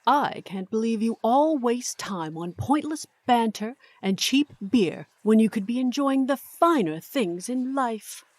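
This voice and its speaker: Haughty, dry-sounding highborn woman played by an actress. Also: expressive, a little slow, few pauses, no echo. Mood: indignant. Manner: haughty, scolding. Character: haughty, indignant high-born young woman, scolding and refined. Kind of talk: comic audio drama acting.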